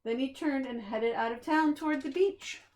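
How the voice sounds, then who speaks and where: A woman reading a children's picture book aloud in an expressive, deliberate storytelling manner, as if to young listeners. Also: high-pitched. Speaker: preschool staff reader.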